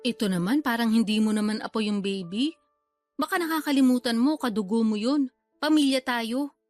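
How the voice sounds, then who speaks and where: Actress doing pushy proud big sister in a radio drama, high voice, defensive and wheedling, bit of music behind. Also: female voice, moderate pace, darkish tone, close to an everyday voice. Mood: coaxing. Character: brazen, unapologetic older sister, a new grandmother. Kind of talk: radio drama dialogue in colloquial Tagalog.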